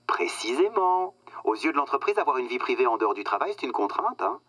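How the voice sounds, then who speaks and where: An actor playing a smug, condescending corporate boss on the phone in a satirical radio drama. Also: male voice, medium pitch, sing-song, fairly quick, pushed and bright. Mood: smugly cheerful. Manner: patronizing, self-satisfied, hesitating with filler words.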